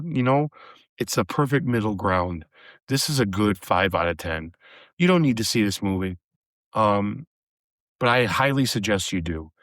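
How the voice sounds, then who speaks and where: A man hosting a solo horror movie review podcast, chatty and casual, calling his listeners guys, his voice a little hoarse from a recent cold. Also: lowish voice, no echo. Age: adult with a daughter. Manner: chatty, addresses listeners as guys, many fillers. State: slightly hoarse, recovering from a cold.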